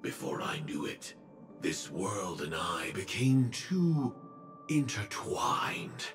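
A man voicing a grave, menacing armored mercenary in a video game, speaking slowly and solemnly over music. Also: fairly low pitch, big pitch swings. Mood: grave, foreboding. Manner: slow, solemn, deliberate. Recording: game audio under loud music.

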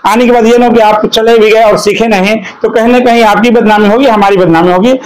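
A man's voice: sits high, steady tone, a little fast. A man in his thirties questions students one by one, repeating their answers back in short replies. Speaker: institute staff interviewing students. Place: crowded classroom, indoors. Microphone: handheld mic. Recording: noisy room full of students.

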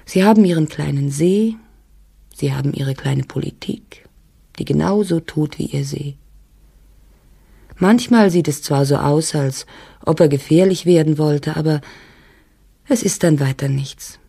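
Casting: melancholy, isolated letter writer for radio play, creaky and mellow, hushed endings. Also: female voice, sits low, measured pace, long pauses, heavily acted. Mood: wistful, oppressed. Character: lonely, melancholy outsider confiding in a letter. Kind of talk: dramatic letter reading in an audio drama.

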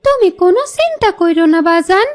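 A woman's voice: very high-pitched, a little fast. A woman voicing an eager, affectionate young village girl in a cartoon, with a Bangladeshi accent and an insistent rural way of talking. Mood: eager, reassuring. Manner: excited, pleading, rural dialect. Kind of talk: cartoon voice acting.

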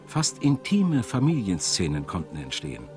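A man's voice: sits low, wildly swooping pitch, normal speed. A man reading scripted narration for a documentary as a studio voice-over. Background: music.

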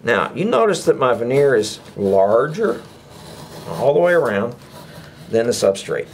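A man's voice: medium pitch, very animated, a little slow, slight background noise. An older man giving a casual hands-on woodworking demonstration, chatty and folksy, often tossing out rhetorical questions. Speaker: woodworking instructor. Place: indoors at a workbench.

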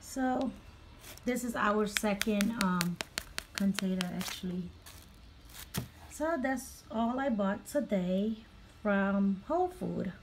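A mother chatting casually off camera while showing her grocery haul, slow and full of ums. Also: medium pitch, a little hiss or hum, poor audio. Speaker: vlogger, mother. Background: crinkling packaging and clicks.

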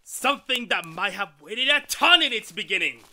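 Man playing an excitable, high-voiced, arrogant professor. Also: moderate pace, exaggerated voice. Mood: eager, dismissive. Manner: theatrical, condescending. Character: excitable, arrogant male scientist, dismissive husband. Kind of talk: retro 1930s radio drama acting.

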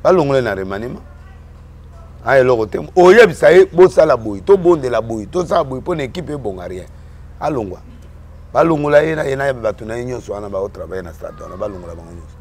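Homme originaire du Congo qui parle en mélangeant le français et le lingala, sur un ton emphatique, en répétant ses mots dans une discussion politique. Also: male voice, mid-range voice, expressive, fast, darkish tone, husky. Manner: mixes French and Lingala, emphatic, repeats words. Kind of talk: animated political chat.

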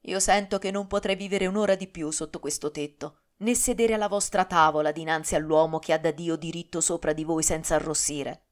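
A woman's voice: low voice, steady tone, brisk, close to an everyday voice. A woman voicing a young nobleman in love, speaking earnestly and tenderly with solemn restraint. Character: young nobleman, tormented lover, earnest and dutiful.

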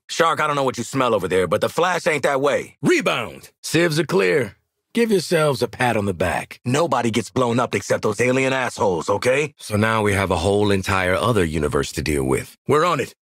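A male actor playing a sardonic, confident mercenary marksman in a video game, with an American accent, husky voice and blunt, commanding manner. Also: mid-range voice, a bit bright, no room sound, studio quality, heavily acted. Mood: sardonic, exasperated. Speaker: squad fighter. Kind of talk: video game combat voice lines.

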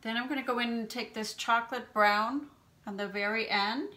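A woman in her fifties hosting a makeup tutorial from a small room at home, explaining each step in a gentle, chatty way and clearing her throat now and then from allergies. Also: a little high, steady tone, moderate pace. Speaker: beauty channel host.

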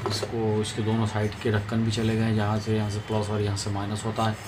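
A man presents an informal repair tutorial in a low voice. His delivery is flat and even, moving step by step as he addresses viewers. The home-made recording has some noise behind him. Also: fast.

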